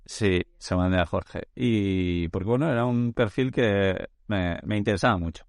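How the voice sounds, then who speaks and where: A man with a fairly low voice hosts a casual conversational podcast and chats with his guest. He talks loosely and often restarts his phrases. The sound is close and dry, with no room in it. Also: moderate pace, dry recording. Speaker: podcast host.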